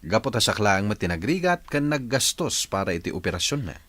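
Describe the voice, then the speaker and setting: A man's voice: lowish voice, sing-song, brisk, a bit bright, dry recording. A man playing a pushy, grasping elder brother in a radio drama, emphatic and complaining, with an Ilocano accent. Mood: insistent.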